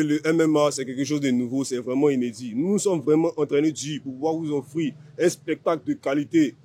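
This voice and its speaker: A man's voice: a little high, a little mellow. Confident Ivorian man, MMA fighter. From Ivory Coast. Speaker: MMA fighter being interviewed. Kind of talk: informal on-camera interview.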